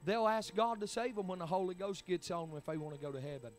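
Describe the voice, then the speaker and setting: A man's voice: high-pitched, fast talker, faint music behind. A man, preaching a sermon in emphatic, rising bursts. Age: 40s. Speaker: pastor.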